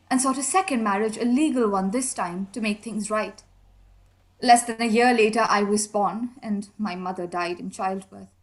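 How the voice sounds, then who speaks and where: Casting: actress as young heiress at an inquest, high voice, earnest and grieving, formal period radio drama. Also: female voice, natural voice. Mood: solemn, sorrowful.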